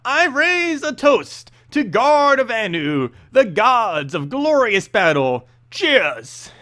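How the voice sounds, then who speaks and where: A man's voice: extremely high pitch, big pitch swings, takes it slowly, pushed and bright, pitched above the performer's own voice, heavily acted. A man voicing a cheerful, oblivious middle-aged drunken squire in a fantasy story, hearty and boisterous.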